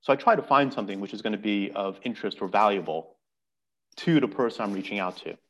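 A man's voice: fairly low pitch, fast, fairly bright tone, rough recording. A man speaking as a podcast guest, explaining earnestly and often restarting his words. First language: English. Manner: earnest, explanatory, repeats words while thinking. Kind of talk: casual podcast conversation.

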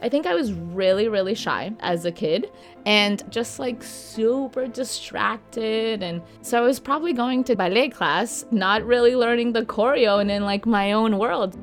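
A woman raised in Venezuela and Miami, a podcast guest telling stories in a lively, animated way. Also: on the high side, unhurried, faint music behind. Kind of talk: interview.

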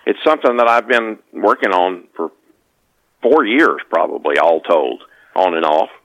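Halting, low-voiced male author guest. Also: expressive, a bit bright, low-quality recording. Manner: halting, often repeats and restarts words. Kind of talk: casual interview talk.

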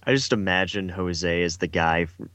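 Deep-voiced young male podcaster, easygoing and ribbing, dry close sound. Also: fairly even intonation, normal speed, bright, forceful tone, dry recording. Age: 20s. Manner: teasing, easygoing. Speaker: podcast co-host. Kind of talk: casual podcast chat.

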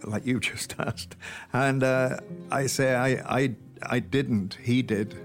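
A man's voice: medium pitch, steady tone, slow pace, faint music behind. A man with a Welsh accent, an actor talking easily in an interview, telling stories with a wry humour. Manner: easygoing, wry storyteller. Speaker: actor guest. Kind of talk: relaxed interview chat.

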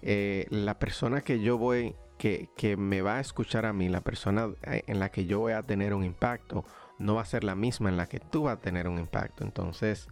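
Lower-voiced man hosting a motivational podcast. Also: fairly even intonation, moderate pace, a little mellow, faint music behind. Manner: encouraging, motivational, frequent restarts. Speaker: podcast host. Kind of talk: casual conversational interview.

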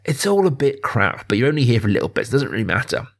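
Male podcast host, average pitch, informal and thoughtful film talk, repeats words to stress points. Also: very animated, racing, creaky. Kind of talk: casual film discussion.

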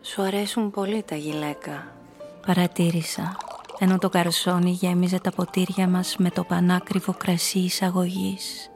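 An actress playing a calculating seductress who narrates her own story in a radio drama, measured and intimate. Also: on the low side, little pitch movement, darkish tone, faint music behind, close to an everyday voice. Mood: soft, guarded.

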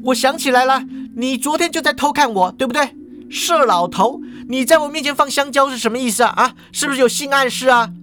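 A storyteller voicing an irritable, sharp-tongued female ghost cashier with a nagging, scornful way of talking, in Mandarin storytelling. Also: high voice, brisk, pitched above the performer's own voice. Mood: annoyed, accusing. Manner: nagging, scornful, loud complaining.

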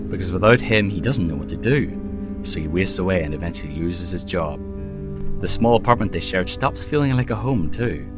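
An actor playing a jaded, wry mercenary haunted by lost love, speaking in a sardonic, conversational audio drama style. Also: male voice, low-pitched. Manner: sardonic, storytelling about himself in third person. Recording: music behind the voice.

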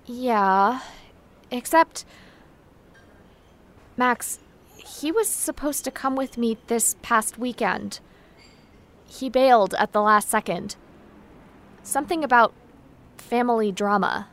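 Curt, high-voiced teenager. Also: female voice, slow, long pauses, a bit bright. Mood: guarded. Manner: curt, dismissive. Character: guarded, irritable teenager, curt former friend. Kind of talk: naturalistic audio drama acting.

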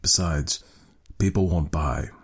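A man voicing a grave middle-aged sergeant major in a storybook reading, haunted and weary, speaking slowly, solemnly and gruffly. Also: very deep voice, fairly even intonation, heavily acted. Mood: weary, reluctant.

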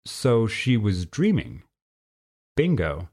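A man's voice: lowish voice, sing-song, slow, darkish tone, slightly rough, exaggerated voice. A man voicing a skeptical, cheeky young winged boy in a storybook, blunt and inquisitive in a casual way. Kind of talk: storybook character voice.

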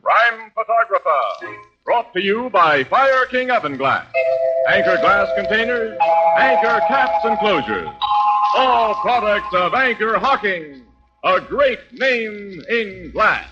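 A man's voice: high-pitched, big pitch swings, slow pace. A man working as a 1940s radio announcer, delivering formal scripted introductions and dramatic narration. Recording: dull lo-fi 1940s radio, loud music behind. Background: loud music.

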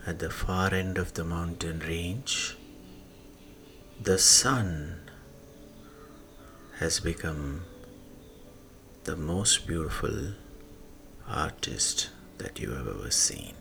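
Very deep, slow male meditation guide. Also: animated, a little hiss or hum. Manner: hushed, breathy phrase endings, long pauses. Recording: soft music behind the voice. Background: soft music.

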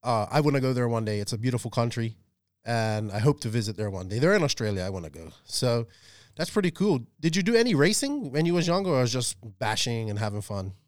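A man hosts a chat podcast in a loose, rambling way, with plenty of fillers and restarts. His voice is smooth and clear at a middle pitch. The mic is close and dry. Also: big pitch swings, fast talker, no room sound. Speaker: podcast host. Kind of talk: casual chat.

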